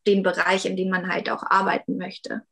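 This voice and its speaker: A woman's voice: medium pitch, completely flat delivery, normal speed, vocal fry, a bit bright. A woman in her thirties, a 3D artist talking casually in an interview, with lots of fillers and hedges. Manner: chatty, many fillers and hedges.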